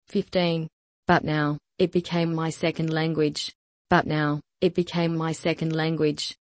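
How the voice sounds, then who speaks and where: A woman with a somewhat low voice reads lesson sentences with slow, even care, repeating each one for learners. The sound is close and dry, with no room around it. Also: dry recording. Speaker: language lesson reader. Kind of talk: scripted repeat-after-me drill.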